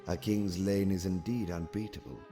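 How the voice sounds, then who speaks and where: A man playing a calm, cerebral alien military cadet in an audio comic, speaking formally and with precise, measured wording. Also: sits low, soft background music, close to an everyday voice. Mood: calm, calculating. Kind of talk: scripted audio comic acting.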